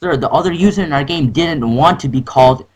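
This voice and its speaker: A man's voice: on the high side, a little fast, crowd noise. A young man on a phone call, arguing in an official customer-support manner, insistent and protesting. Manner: insistent, protesting, repeatedly saying sir. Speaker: prank caller posing as game support. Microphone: telephone or call software.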